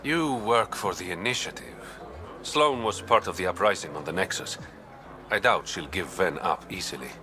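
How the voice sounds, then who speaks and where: A man playing a sly, charming smuggler and informant in a video game, smooth and teasing. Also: low voice, animated, moderate pace, a crowd in the background. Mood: confiding. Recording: clear background vehicle and ambient noise.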